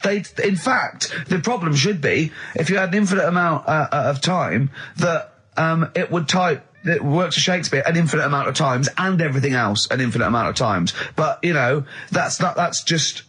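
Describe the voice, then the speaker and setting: Bloke on radio, highish voice bit rough, exasperated, keeps butting in and repeating himself. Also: male voice, moderate pace, hardly any pauses, fairly bright tone. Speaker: radio show co-host. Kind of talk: casual argumentative radio banter.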